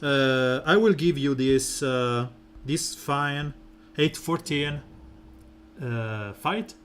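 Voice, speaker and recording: Man, game streamer, soft smooth voice, slow unhurried talk, faint hum behind. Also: mid-range voice. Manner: relaxed, rambling, many fillers. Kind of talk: casual gameplay commentary.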